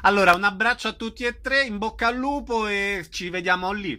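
A man's voice: sits high, fairly quick, sharp tone. A man hosting a radio interview, putting short questions to his guests.

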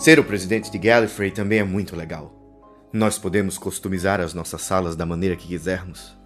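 A man's voice: low-pitched, steady tone, fairly quick, natural voice. A man playing a gleeful, megalomaniac alien president in an audio drama, gloating theatrically. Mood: gloating, scheming. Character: gleeful megalomaniac male villain, alien Time Lord president. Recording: loud music under the voice.

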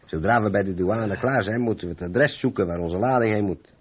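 An actor playing a seasoned truck driver in a Dutch radio drama, bossy and grumbling but good-natured, with a hearty, chatty manner. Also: male voice, lowish voice, fairly quick, slightly rough. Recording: narrow phone-like old radio recording, noisy.

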